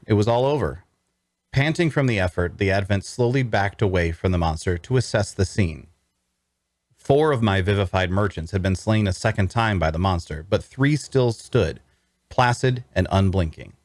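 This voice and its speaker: A man reading first-person fantasy narration in a measured, deliberate, dramatic storytelling style. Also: lowish voice, no room sound.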